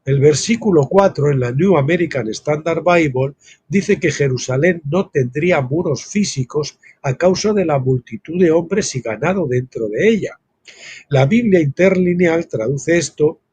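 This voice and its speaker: Husky man reading a Bible study aloud. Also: on the high side, a little mellow, no echo. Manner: reads text aloud, then breaks off with informal asides. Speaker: Bible teacher.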